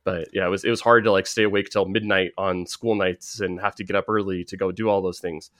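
A man from the Bay Area with an American accent, hosting a casual sports podcast in a halting, rambling, filler-heavy way. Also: sits low, fairly even intonation, a bit bright, dry recording, studio quality. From San Francisco Bay Area, United States. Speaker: podcast host.

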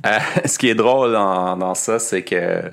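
Québécois guy, lowish voice, talks real slow, lots of euh while teaching. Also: vocal fry, a bit bright, clear, resonant tone. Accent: Québécois French. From Quebec. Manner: slow, careful, with frequent hesitations. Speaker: podcast host and French teacher. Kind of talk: explanatory podcast lesson.

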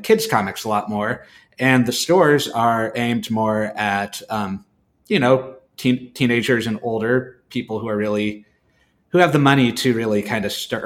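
Man explaining things in halting steps. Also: on the low side. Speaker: podcast co-host. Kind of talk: informal explanatory panel talk.

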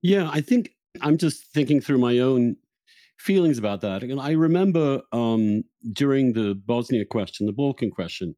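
A man hosting a reflective intellectual conversation, halting and searching for words, often repeating himself mid-phrase. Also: mid-range voice, expressive, mellow, no room sound. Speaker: podcast host.

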